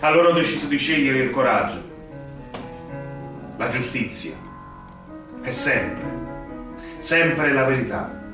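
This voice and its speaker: An actor playing a stern, idealistic young magistrate haunted by guilt in a radio drama, with emphatic, deliberate phrasing and dramatic pauses. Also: male voice, medium pitch, animated. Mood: anguished, resolute. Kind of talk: theatrical radio drama acting. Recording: very echoey stage, distant mic, music behind.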